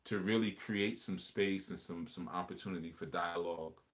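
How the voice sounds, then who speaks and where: A man's voice: deep voice, fairly even intonation, moderate pace, a bit bright. A man hosting a casual livestream discussion, talking earnestly and reflectively and often repeating words as he builds a point. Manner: earnest, reflective, repeats phrases while explaining. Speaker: livestream host.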